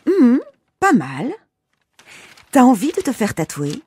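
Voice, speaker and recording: A woman's voice: fairly high pitch, huge pitch swings, takes it slowly, a little mellow, husky, full character voice. A woman playing a wife in a scripted textbook dialogue, suggesting outings in a light, conversational tone. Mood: chatty. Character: woman named Mariette planning an outing with her partner.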